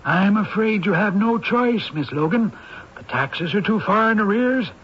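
High-voiced, formal young attorney. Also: male voice, animated, measured pace. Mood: regretful, firm. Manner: formal, firm, discouraging. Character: formal young male attorney, sympathetic but firm. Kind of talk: 1970s radio drama acting. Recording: dull, noisy old radio broadcast recording.

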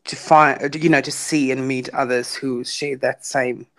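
A woman's voice: extremely low pitch, fairly even intonation, measured pace. A woman from South Africa with an Afrikaans accent, a native Afrikaans speaker, talking enthusiastically as a podcast guest and sometimes searching for words. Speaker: podcast guest, witchcraft teacher. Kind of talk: casual podcast conversation.

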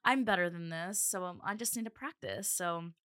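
A woman's voice: lowish voice, moderate pace, a bit bright, no echo, studio quality. A woman co-hosting a podcast in casual chat, emphatic and full of "like". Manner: chatty, emphatic, heavy use of "like". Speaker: podcast co-host.

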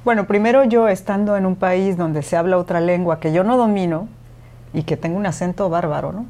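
Candid woman, lowish voice. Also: brisk. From Mexico. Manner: candid, animated, many fillers. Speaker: guest, podcast host and former radio announcer. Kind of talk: conversational interview.

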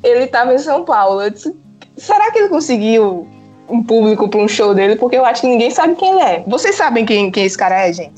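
A woman with a Northeastern Brazilian accent hosts a casual podcast conversation. Her voice sits a little high and she sounds chatty and enthusiastic, with faint music behind her. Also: lively intonation, fairly quick, darkish tone, highs cut off. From Pernambuco, Northeast Brazil. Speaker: podcast host.

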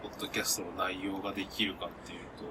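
A man co-hosting a podcast in casual chat with friends, speaking in an animated, self-deprecating way. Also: low voice, very fast, noisy background. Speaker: podcast co-host.

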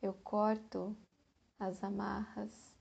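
A Brazilian woman leads a guided meditation in Portuguese-accented speech. Her voice is dark and relaxed, slightly husky, with a very even pitch. She moves extremely slowly and gently, letting long pauses settle between short phrases. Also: dull audio.

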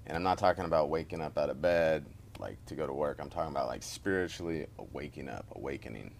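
A man hosting a podcast, asking his guest questions in a relaxed, soft-spoken way. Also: extremely low pitch, fast, creaky, a little hiss or hum. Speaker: podcast host. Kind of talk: podcast interview with scripted intro.

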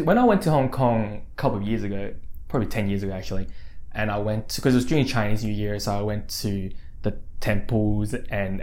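A man in his thirties from Australia, co-hosting a podcast in relaxed, teasing casual chat. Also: lowish voice. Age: 30s. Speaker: podcast co-host.

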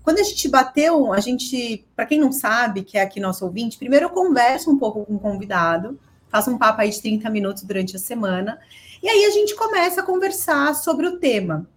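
A woman's voice: on the high side, big pitch swings, fairly quick. A woman with a Brazilian accent, co-hosting a podcast and interviewing in a chatty, informal way. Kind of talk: casual conversational interviewing.

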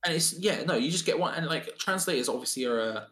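A man's voice: on the high side, animated, fast, fairly bright tone. A man chatting casually on a podcast, rambling with lots of fillers and restarts.

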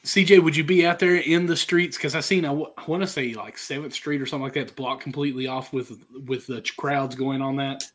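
A man hosting a casual sports podcast over a video call, sounding hoarse from a sinus infection, chatty and apologetic. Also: medium pitch, fairly even intonation, brisk, hardly any pauses. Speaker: podcast host.

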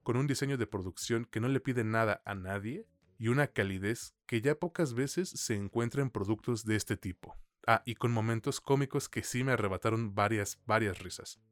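A man's voice: lowish voice, normal speed, no echo, studio quality. A man with a Mexican accent hosting a film review podcast in a colloquial, slangy way. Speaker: podcast host.

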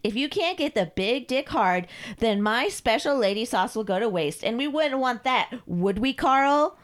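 A woman's voice: mid-range voice, lively intonation, unhurried, voice pushed up, natural voice. A woman voicing a cruel, imperious middle-aged woman in a story, commanding and mocking, drawing out her words.

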